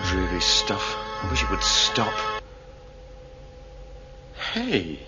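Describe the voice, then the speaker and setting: Sardonic crewman, impatient, lively pitch, music behind, radio drama. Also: drawn-out words, heavily acted. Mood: disdainful. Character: grumbling, irritable male starship crew member.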